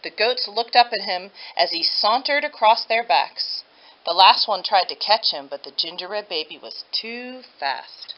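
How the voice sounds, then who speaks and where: A woman reading a children's story aloud with expressive narration. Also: medium pitch, measured pace. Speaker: storybook reader. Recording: dull lo-fi audio.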